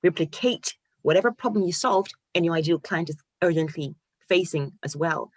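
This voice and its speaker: A woman from Ghana in her forties, an online course coach telling her story in an animated, casual way with restarts and fillers. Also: lowish voice, moderate pace, dry recording. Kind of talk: casual interview chat.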